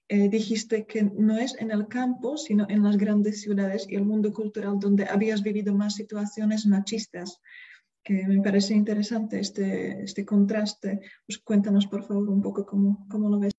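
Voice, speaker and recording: A woman moderating a reading, with a mellow, dark voice and a very flat delivery. She asks polite, carefully framed questions, and her audio comes through dull and lo-fi. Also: medium pitch, normal speed. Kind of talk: moderating a bilingual discussion.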